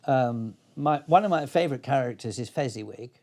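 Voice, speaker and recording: A man's voice: medium pitch, lively intonation, a little fast, darkish tone. An older man speaking reflectively as an interview guest, hesitant and thoughtful but enthusiastic. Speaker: interview guest, playwright and actor.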